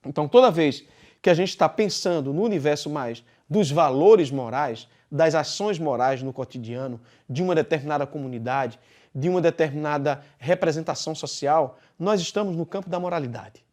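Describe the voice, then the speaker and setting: A man with a Brazilian Portuguese accent teaching a philosophy class, speaking deliberately and posing questions he then answers himself. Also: fairly high pitch, a little mellow. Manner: deliberate, poses rhetorical questions and answers them. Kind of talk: recorded class lecture.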